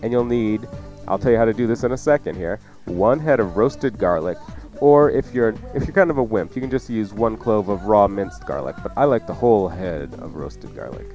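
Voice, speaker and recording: A man's voice: lowish voice, expressive, moderate pace, mellow, faint music behind. A man hosting a radio cooking show, explaining recipes in a casual, folksy, jokey way. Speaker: cooking show host and chef. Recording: dull lo-fi radio recording.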